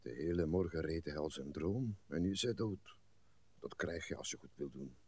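An actor playing a courteous, stiff older gentleman in a radio play, formal and dryly resigned. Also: a bass voice, normal speed, slightly rough. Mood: glum, resigned. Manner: formal, polite, dry.

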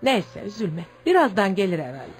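A woman playing a caring, calm older village neighbour who reassures others in a radio play. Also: mid-range voice, moderate pace, breathy edge, soft background music. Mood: soothing. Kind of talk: Turkish radio theatre acting.

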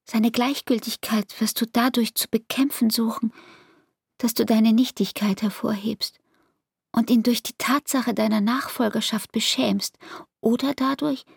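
An actress playing an anguished, devoted young barmaid in an intimate radio play, with speech and a breathy, half-whispered, intense delivery. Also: female voice, fairly high pitch, fairly even intonation, a little slow, no room sound, studio quality, exaggerated voice. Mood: bitter, intense.

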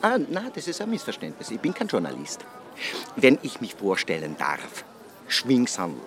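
A man's voice: mid-range voice, huge pitch swings, moderate pace, a bit bright. An actor playing a prim, fawning man of about thirty in a radio drama, formal and eager to please. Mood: ingratiating. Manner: obsequious, formal.

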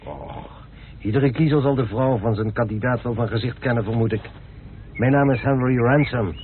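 Actor as chatty host on noisy old radio. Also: male voice, medium pitch, normal speed, phone-quality sound, lightly acted. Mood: eager, hospitable. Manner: chatty, courteous, effusive. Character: chatty, eagerly hospitable eccentric male house owner. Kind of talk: Dutch radio drama acting.

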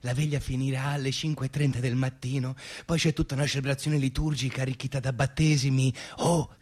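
A man's voice: medium pitch, steady tone, a little fast, a little mellow, close to an everyday voice. An actor playing a pious, chatty man running a church vigil, preachy and rambling, in naturalistic radio theatre. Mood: earnest, chatty.

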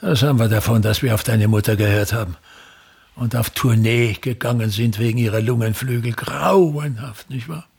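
Raspy, pompous old theatre patriarch. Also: male voice, medium pitch, normal speed, darkish tone. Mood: indignant, lamenting. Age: older. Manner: pompous, theatrical, complaining, repeating his phrases. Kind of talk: stage-like radio play acting.

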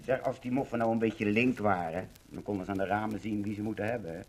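An actor playing a hopeful but grumbling older Dutch husband in wartime, earnest, in 1950s radio drama style. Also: male voice, fairly low pitch, steady tone, fast talker, darkish tone, lightly acted. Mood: conspiratorial. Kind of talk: 1950s radio docudrama acting. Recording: old 1950 radio recording, faint hiss.

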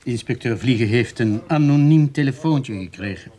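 An actor playing a dutiful, formal police sergeant in a radio play, official and reserved in manner. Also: male voice, medium pitch, moderate pace, warm tone, natural voice. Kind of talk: Dutch-language radio play acting.

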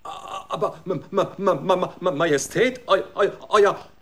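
An actor playing a panicky, servile, dim guard who stammers, in a children's radio play. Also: male voice, high voice, unhurried. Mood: stunned, stammering. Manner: stammering, exclaiming.

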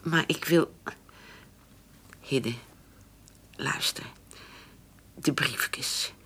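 A woman in a radio play playing a frail, anxious elderly mother, halting and sighing in a breathy, whispery voice. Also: very deep voice, a bit bright, heavily acted. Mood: anxious, insistent.

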